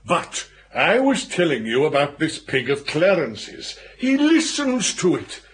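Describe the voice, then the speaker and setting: An opinionated middle-aged English gentleman for a radio comedy. He speaks in upper-class tones, somewhat high and full of bounce, relishing every emphatic point. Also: wildly swooping pitch, measured pace. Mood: indignant storytelling. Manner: emphatic, anecdotal, blustery. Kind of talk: BBC radio comedy drama acting.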